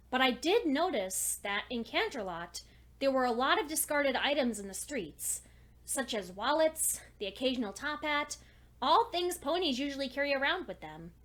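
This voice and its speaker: A high-pitched young female pony scholar, acted out by a woman, narrates a cartoon fan story as a personal log. She is earnest and precise, with a fretful, anxious edge as she tries to keep her thoughts in order. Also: fairly bright tone, heavily acted. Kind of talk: dramatic first-person fan fiction reading.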